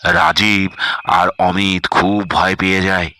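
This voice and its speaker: A man's voice: low-pitched, level tone, takes it slowly, pushed and bright. A man narrating a scripted horror story as its storyteller.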